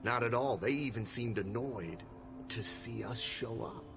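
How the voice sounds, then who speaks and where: Male police captain acted, middle-aged, mid-pitched, authoritative, deliberate, weary, video game cutscene, music underneath. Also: lightly acted. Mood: frank, resigned.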